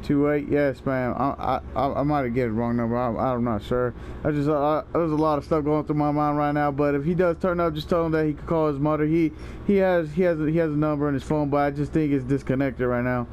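A young man with a slightly high voice rambles through a prank phone call in casual slang. He stammers and swears as he goes, with faint noise behind him. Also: little pitch movement, a little fast, few pauses, darkish tone. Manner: rambling, foul-mouthed, stammering. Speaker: prank caller and video host.

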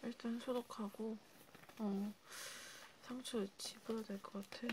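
A woman playing a caring vampire healer in an ASMR roleplay, speaking in a hushed, unhurried murmur with long pauses. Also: fairly high pitch, steady tone, noisy background, natural voice. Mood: hushed, concerned. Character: caring female vampire healer treating a wounded vampire. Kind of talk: ASMR roleplay, soft and whispered.

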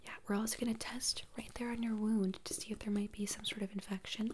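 A woman speaking in a breathy whisper, playing a doctor in an ASMR exam roleplay with gentle, reassuring step-by-step instructions. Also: mid-range voice, level tone, fast, a little hiss or hum.

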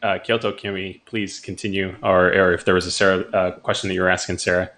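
A man moderating a live video call, easygoing, with short affirmations and quick laughs as he steers the talk. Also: low-pitched, flat delivery, fairly bright tone, slight background noise. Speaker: co-host, moderator. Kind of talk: casual live-stream moderating.

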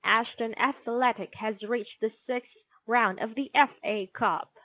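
A woman's voice: a little high, slow, fairly bright tone, no echo. A woman voicing a stern, snappish female schoolteacher in a storybook, scolding and commanding. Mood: commanding, irritated.

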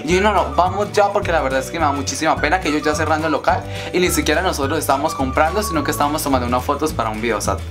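A man's voice: a little high, racing, hardly any pauses, music in the background. A teenage boy from Colombia with a Colombian accent, chatting to camera in his bedroom and telling a rambling story with many fillers. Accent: Colombian Spanish. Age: teens. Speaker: YouTuber host. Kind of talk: casual vlog chat to camera. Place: bedroom, indoors.

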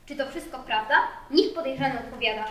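A woman's voice: high voice, brisk, lightly acted. A female performer playing a stern, formal judge who questions and declaims in an amateur school radio play. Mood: stern, indignant. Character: stern judge presiding over a comic trial. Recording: echoey room, distant mic, background noise.

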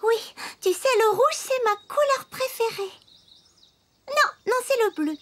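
An actor voicing an excitable little female teddy bear in a French children's cartoon. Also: very high-pitched, unhurried, background noise. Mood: excited.

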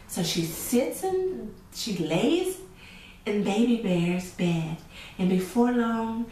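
A woman's voice: medium pitch, expressive, slow pace, mellow. A middle-aged woman hosting children's storytime, telling stories and moral lessons emphatically and putting questions to the listener. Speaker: storytime host. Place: small indoor room with chalkboard set. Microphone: camera mic a few feet away. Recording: echoey room, distant camera mic, faint noise.

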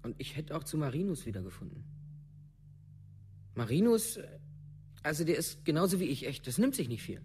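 An actor playing a rough, shaken teenage village boy in a documentary radio play, speaking bluntly and haltingly in colloquial language. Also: on the high side, big pitch swings, a little mellow, soft background music. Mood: wistful, defensive.

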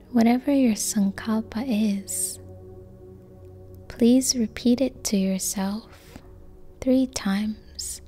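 Female meditation guide, extremely unhurried, soft and mellow, breathy near-whisper, gentle and calm. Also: mid-range voice. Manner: calm, gentle, long pauses between short phrases. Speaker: yoga nidra meditation guide. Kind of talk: slow guided sleep meditation.

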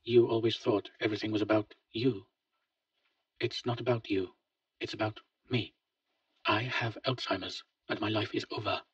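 Brief: male reader as a weary, blunt older father, husky, soft-spoken, distant lo-fi take. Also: mid-range voice, fairly even intonation, unhurried, long pauses, no echo, voice pushed up. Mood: resolute. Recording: dull lo-fi, distant mic.

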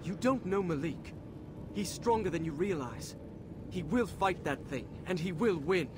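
An animated, urgent young prince. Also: male voice, sits high, unhurried, background noise. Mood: defiant, disbelieving. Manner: urgent, insistent. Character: earnest, stubborn young prince, a determined hero. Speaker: voice actor in a game cutscene. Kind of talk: dramatic video game acting. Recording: loud game ambience and effects behind.

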